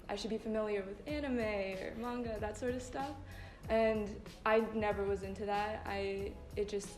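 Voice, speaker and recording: A woman's voice: mid-range voice, a little mellow. A young American woman, speaking candidly and reflectively about her heritage. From United States. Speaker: student panelist. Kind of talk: casual personal testimony. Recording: roomy room with background music.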